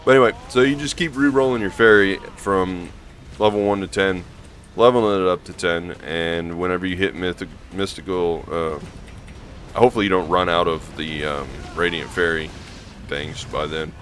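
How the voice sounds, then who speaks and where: A hoarse man with a gravelly voice, rambling casually through gameplay commentary with lots of ums and restarts. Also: sits low, moderate pace, a bit bright. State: hoarse from allergies. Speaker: gaming channel host.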